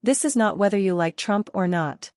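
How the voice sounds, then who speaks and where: A woman narrator reading out short notes and disclaimers in a flat, matter-of-fact way. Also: medium pitch, moderate pace, dry recording, clean studio sound.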